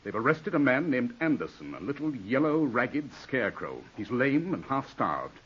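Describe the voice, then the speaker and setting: An actor playing a middle-aged, domineering English lawyer scolding and lecturing in a 1940s radio drama. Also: male voice, medium pitch, big pitch swings, moderate pace, breathy edge. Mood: curt, scornful. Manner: forceful, scornful, lecturing. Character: domineering English lawyer, ambitious, exasperated older brother. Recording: dull 1940s radio broadcast recording.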